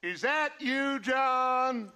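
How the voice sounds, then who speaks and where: A menacing older outlaw leader from a western video game. He speaks slowly and theatrically in a very high, bright voice, pausing grandly as he savors each phrase. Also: clear, resonant tone, full character voice. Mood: mocking menace. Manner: theatrical, halting, savoring his words.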